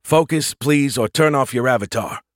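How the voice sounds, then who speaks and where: A man's voice: mid-range voice, normal speed, no room sound, clean studio sound, pitched above the performer's own voice, close to an everyday voice. A man voicing a blunt, commanding military starship captain in an audiobook, urgent and sarcastic.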